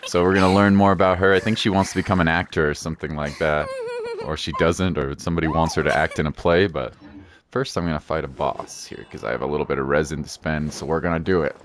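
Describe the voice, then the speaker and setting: A man's voice: a bass voice, fairly even intonation, quick pace. A man casually chatting and commentating on a game livestream in a laid-back, playful, chatty way. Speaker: live game streamer. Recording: music playing behind the voice.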